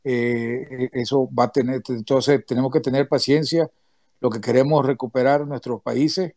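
Halting man, dry close sound. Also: mid-range voice, steady tone, a little mellow, no room sound. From Nicaragua. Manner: halting, full of restarts and hesitations. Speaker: activist speaker, former political prisoner. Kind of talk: closing remarks in an online audio chat room. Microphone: remote audio call.

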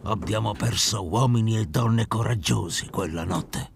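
A man playing a proud, commanding veteran ship captain in a fantasy audio drama, with a gravelly voice and a wry, deliberate manner. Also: fairly low pitch, slight background noise. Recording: loud music behind.

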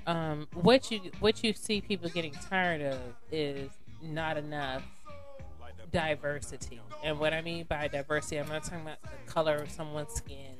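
Woman, low voice, chatty podcast guest, rambling, faint music bed. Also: fairly even intonation, moderate pace. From North Carolina, USA. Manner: chatty, rambling with many fillers, calls people honey. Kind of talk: casual podcast chat.

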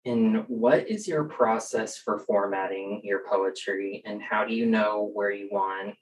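A man's voice: lowish voice, a little slow. A man posing an interview question in a plain conversational way. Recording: distant mic with faint noise.

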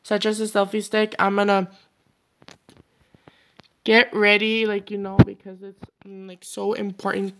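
A man's voice: sits high, completely flat delivery, measured pace, pauses often, a bit bright, slight background noise. A sixteen-year-old boy hosting his own podcast, talking alone in a loose, rambling way full of "like, you know.". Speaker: podcast host. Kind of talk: casual solo podcast monologue.

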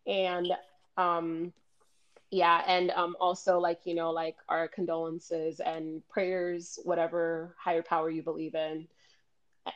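An earnest young American woman talking in a casual podcast chat, leaning on ums and likes. Her voice is on the low side. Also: monotone, a little slow, dull audio. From United States. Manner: earnest, filler-heavy. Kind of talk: casual group discussion.

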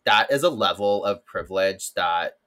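Expressive campy podcast man. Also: deep voice. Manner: expressive, campy, quick to laugh. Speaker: podcast co-host. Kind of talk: casual chat.

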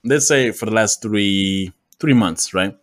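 A man's voice: lowish voice, measured pace, fairly bright tone. A man talking as a podcast guest in a laid-back, loose and joking way. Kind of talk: casual conversation.

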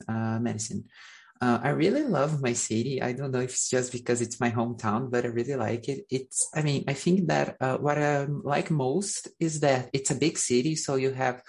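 A man's voice: medium pitch, vocal fry, darkish tone. A man in his twenties, a medical student guest, answering questions hesitantly with many fillers. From Brazil. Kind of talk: casual interview answers.

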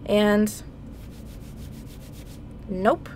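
A woman's voice: medium pitch, warm tone, a little hiss or hum. A woman playing an irritable, skeptical adventurer heroine in a comedic video game parody, exasperated and sarcastic. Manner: exasperated, drawn-out sarcastic complaining.

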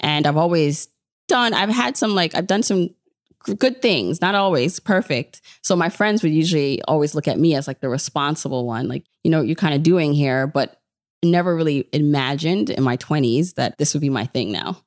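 A woman's voice: low-pitched, normal speed, no room sound, studio quality. A woman, talking casually and informally as an interview guest, telling stories in a chatty way. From born in Jamaica, grew up in New York. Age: 30s. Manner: chatty, informal storytelling with quoted self-talk. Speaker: podcast guest, personal finance podcaster.

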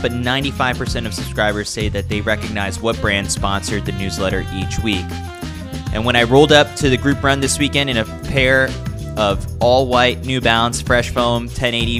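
A man hosting a podcast, reading a newsletter aloud in a scripted, wry and teasing delivery. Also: mid-range voice. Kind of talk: scripted read with dry humor.

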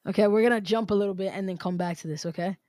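A man's voice: sits high, steady tone, racing, a little mellow. A man hosting a podcast, upbeat and chatty, often repeating and restating his own phrases. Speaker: podcast host. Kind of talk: casual interview chat.

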